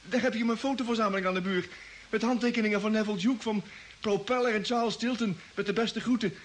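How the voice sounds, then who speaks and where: A man playing an excitable, bewildered young space traveller in an old radio drama, stammering and indignant. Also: sits high, fast talker, lightly acted. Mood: dazed. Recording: echoey, noisy old radio play recording.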